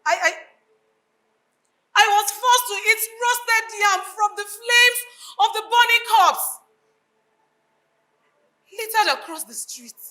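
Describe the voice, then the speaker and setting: A woman's voice: extremely high pitch, slow pace, pauses often, bright, forceful tone, exaggerated voice, poor audio. A woman acting a grieving, unhinged bereaved mother in a live stage drama, declamatory and anguished, with a Nigerian accent.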